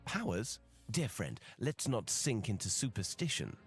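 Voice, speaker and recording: An actor playing a composed, rational detective who speaks in a measured, formal, analytical way in a video game. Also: male voice, lowish voice, big pitch swings, soft background music. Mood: calm, rational. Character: composed, rational male detective, skeptical of superstition. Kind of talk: video game cutscene voice acting.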